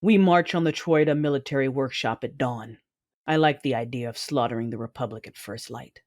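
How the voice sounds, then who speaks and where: Man acting a brooding, vengeful dark warlord. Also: mid-range voice, fairly quick, dry recording, studio quality, close to an everyday voice. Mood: cold menace. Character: brooding, vengeful male dark-side warlord, coldly cruel. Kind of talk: first-person audio drama narration and dialogue.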